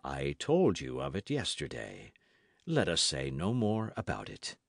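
A man voicing a quiet, patient, sly musketeer with priestly leanings in an audiobook, measured and composed. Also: rumbling low, wildly swooping pitch, vocal fry, dry recording, studio quality, exaggerated voice. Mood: calm, patient.

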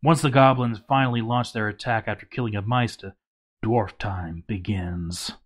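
A man's voice: on the low side, steady tone, moderate pace. A man reading a written game playthrough story aloud as a dramatic scripted narration.

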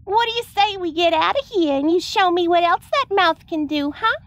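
A woman's voice: very high-pitched, lively intonation, moderate pace. A woman playing a brazen, seductive, flirty alien in an audio roleplay.

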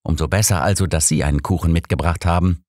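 A man voicing a lively, outspoken younger woman in an audiobook, with an animated, friendly manner. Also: deep voice, fast, dry recording, clean studio sound. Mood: sheepish. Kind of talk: audiobook character voice.

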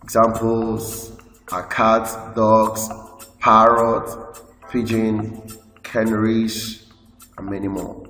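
A man with a Nigerian English accent, teaching a school lesson by slowly reading out lists from slides. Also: lowish voice, completely flat delivery, fairly bright tone. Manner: slow, list-like reading with explanations. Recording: echoey room with long reverb, faint music.

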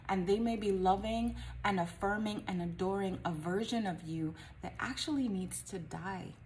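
A woman's voice: medium pitch, a little mellow, a little hiss or hum. Earnest woman in her forties coaching. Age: 40s. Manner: earnest, emphatic, asks rhetorical questions. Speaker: life coach and vlogger. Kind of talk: encouraging motivational talk to camera. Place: small home office indoors.

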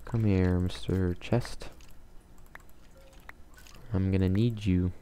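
A man calmly talking to himself while playing a game, in a soothing, mumbling way. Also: sits low, takes it slowly, a little hiss or hum. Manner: calm, mumbling, self-talking. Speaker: gamer. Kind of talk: casual let's play commentary.

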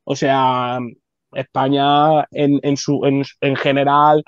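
A man's voice: mid-range voice, slow pace, clear, resonant tone, no room sound, highs cut off. A man in his twenties from Spain, with a Barcelona-area Spanish accent, chatting casually as a guest on a video call, full of slang and fillers. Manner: slangy, relaxed, many fillers. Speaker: guest rapper. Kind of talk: casual interview chat. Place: indoor bright room. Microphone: video call webcam.

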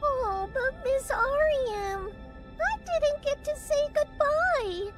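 A woman's voice: very high voice, animated, slow pace, over music, full character voice. A woman voicing a sweet, polite little girl rabbit in a cartoon video game, childlike and earnest. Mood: sad.

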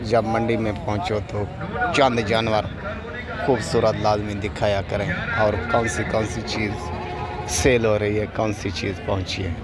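Slow-talking man touring a goat market. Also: on the low side, little pitch movement. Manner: slow, repetitive, ends phrases with a tag question. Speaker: goat farmer showing animals at a livestock market. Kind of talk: walking tour vlog commentary. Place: outdoor livestock market.

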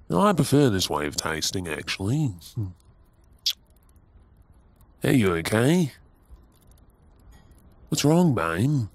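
Man playing an unhurried, affectionate Australian husband. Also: lowish voice, husky, dry recording, exaggerated voice. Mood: worried, tender. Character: affectionate, playful, caring husband on a getaway. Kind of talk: intimate ASMR roleplay acting.

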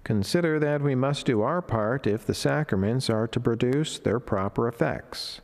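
A middle-aged man reading a devotional reflection as a friar, in a solemn, measured, formal way. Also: mid-range voice, lively intonation, gravelly. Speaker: Franciscan friar preacher. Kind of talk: read devotional reflection and prayer. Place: indoor chapel, at a lectern beside the altar. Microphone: lectern microphone.

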